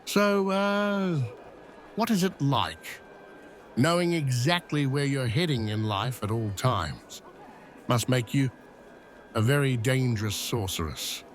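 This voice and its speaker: A man playing a grand, excitable Roman emperor in a fantasy audio drama, given to flustered stammering. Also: medium pitch, slow, a little hiss or hum. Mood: curious, playful. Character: excitable, easily swayed male Roman emperor. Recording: faint music underneath. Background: music.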